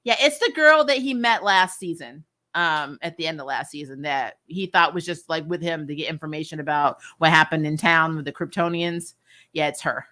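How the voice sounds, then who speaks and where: A woman hosting a casual livestream review, chatting with viewers in an animated, emphatic way and repeating phrases for effect. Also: sits low, normal speed. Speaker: host of a comic and TV review channel. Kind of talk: casual livestream chat, answering viewer questions.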